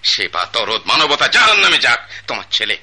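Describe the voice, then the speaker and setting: A blustery rich dad in a radio play, bright sharp tone, voice jumps all over. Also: male voice, mid-range voice, sing-song, normal speed, slightly rough, full character voice. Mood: angry. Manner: blustering, scolding his wife and son. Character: pompous wealthy middle-aged father, quick-tempered. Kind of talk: radio drama acting.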